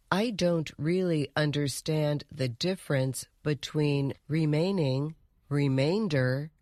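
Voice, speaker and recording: Very slow, careful reader. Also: mid-range voice, a little mellow, dry recording, clean studio sound. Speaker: reader of listener's letter and examples. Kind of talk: slow scripted reading aloud.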